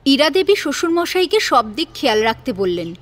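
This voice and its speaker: A woman's voice: high voice, sing-song, moderate pace. A woman narrating a dramatised family story from a script.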